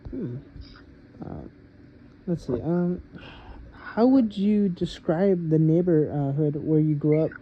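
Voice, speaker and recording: A young man, a student, conducting an interview for a class, halting and full of uhs and quick laughs. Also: fairly high pitch, animated. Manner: halting, filler-heavy, nervous laughs. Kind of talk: casual recorded interview. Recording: muffled with light background noise.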